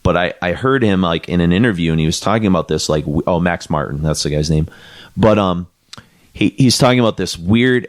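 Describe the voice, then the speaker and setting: A very low-pitched man talks through a music podcast in a loose, rambling way. He leans on "like" and "you know," stops and restarts, then settles into a thought. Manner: rambling, many fillers and restarts; reads quotes aloud. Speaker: podcast co-host. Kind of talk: casual chat about music.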